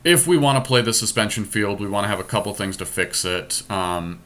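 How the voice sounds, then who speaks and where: Guy with a lowish but sharp voice, chatting through his card picks, kinda opinionated and into it. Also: lively intonation, brisk. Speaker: podcast host drafting a card game. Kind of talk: casual game commentary, thinking aloud.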